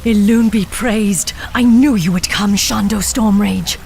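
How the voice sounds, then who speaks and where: A woman's voice: mid-range voice, measured pace, creaky, darkish tone, slight background noise. A woman playing a bitter, vengeful night elf warden commander in a video game cinematic, speaking in a stern, formal, proud manner. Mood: relieved, formal.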